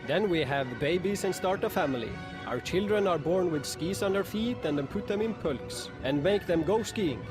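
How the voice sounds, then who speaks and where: A young man giving a deadpan comic monologue, listing things in a dry tone. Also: high-pitched, normal speed, hardly any pauses, music in the background.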